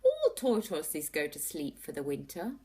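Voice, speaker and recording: A woman's voice: deep voice, huge pitch swings, measured pace, dark tone, breathy edge, heavily acted. A woman voicing a firm, indignant father tortoise in a storybook. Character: stern father tortoise, sleepy.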